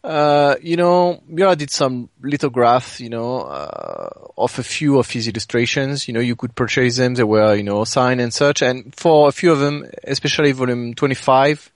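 A man's voice: medium pitch, expressive, moderate pace, hardly any pauses, dry recording, studio quality. A man co-hosting a podcast in casual chat, talking hesitantly with many filler phrases. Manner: hesitant, punctuated with "you know". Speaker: podcast co-host.

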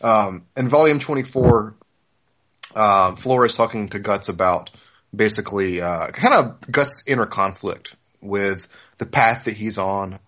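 A man's voice: fairly low pitch, a little slow, a bit bright. A man hosting a podcast in a casual, informal discussion style. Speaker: podcast host.

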